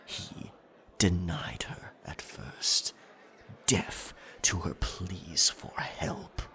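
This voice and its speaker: A man playing a captain werecat in an ASMR roleplay, telling a scary tale in a breathy, gravelly, theatrical voice with deliberate pauses. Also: low voice, animated, pushed and bright, heavily acted. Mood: ominous storytelling. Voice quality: breathy, gravelly half-whisper. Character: pirate captain werecat, dominant, ominous campfire storyteller.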